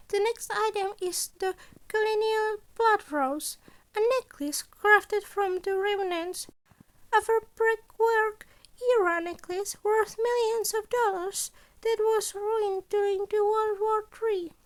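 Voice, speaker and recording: A woman's voice: very high-pitched, fairly even intonation, slow pace, voice pushed up. A woman voicing a polite, scheming animal school principal in a story, speaking formally and precisely as if lecturing. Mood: brisk, lecturing. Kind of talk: scripted story character voice.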